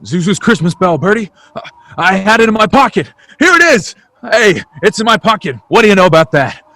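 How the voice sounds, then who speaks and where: A man's voice: high-pitched, big pitch swings, fairly quick, pushed and bright, dry recording, full character voice. An actor playing an emotional, fervent family man in an old-time radio drama, stammering and exclaiming sincerely. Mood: overjoyed.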